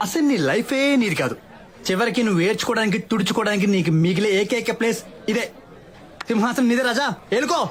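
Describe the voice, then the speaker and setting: Fast-talking, cocky young man played by an actor. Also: sits high, a faint crowd, close to an everyday voice. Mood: mocking, teasing. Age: young adult. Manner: flippant, mock-dramatic, slangy. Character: cocky, teasing young male gaming software engineer. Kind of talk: comedy film dialogue. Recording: film audio with music underneath.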